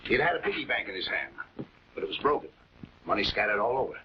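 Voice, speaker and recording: Uneasy gentleman, very animated pitch. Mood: uneasy, explaining. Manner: hesitant, over-polite, halting. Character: courteous, uneasy married householder and guardian. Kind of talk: late-1940s television drama acting. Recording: dull, noisy 1940s film soundtrack.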